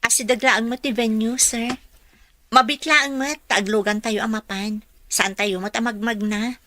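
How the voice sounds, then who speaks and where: A woman's voice: on the high side, fairly even intonation, measured pace, bright, forceful tone, dry recording. A woman playing a polite, deferential young housekeeper in a radio drama.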